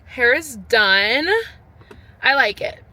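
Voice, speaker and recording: A woman's voice: fairly high pitch, lively intonation, slow pace, sharp tone, echoey room. A young woman chatting casually to camera in a lifestyle vlog, talking to her viewers and to herself and repeating words for emphasis. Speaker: lifestyle vlogger.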